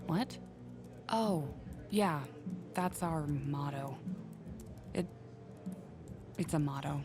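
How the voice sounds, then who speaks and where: A woman's voice: deep voice, very slow, heavily acted. An actress voicing a guarded, defensive middle-aged corporate businesswoman in a video game, controlled and resentful under pressure. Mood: guarded, awkward. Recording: game audio with music underneath. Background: prominent music.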